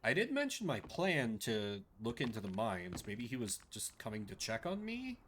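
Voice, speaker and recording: A man's voice: mid-range voice, wildly swooping pitch, moderate pace, a bit bright, slight background noise. A man voicing a curious, self-possessed young woman, a printer's daughter, in a video game. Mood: matter-of-fact, cautious.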